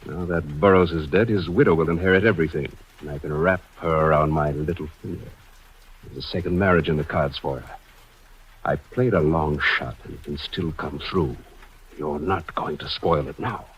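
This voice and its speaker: Radio thriller villain: very low, husky, coolly threatening, commanding, on a dull vintage recording. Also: male voice, normal speed. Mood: smug menace. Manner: smooth-talking, commanding, threatening. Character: cold, menacing male murderer holding a woman at gunpoint. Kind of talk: 1950s radio thriller acting.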